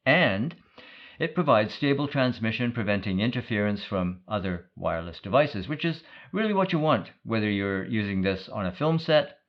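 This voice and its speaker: A man's voice: on the low side, expressive, moderate pace. Man reviewing gear, slightly dull sound. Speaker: product reviewer. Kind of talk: explanatory product review.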